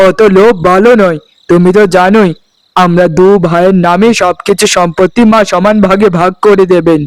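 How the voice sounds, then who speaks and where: A man's voice: high-pitched, steady tone, moderate pace, clear, resonant tone, no room sound, natural voice, low-quality recording. A man voicing a meek, henpecked village husband in a cartoon, protesting but yielding. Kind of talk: cartoon voice acting.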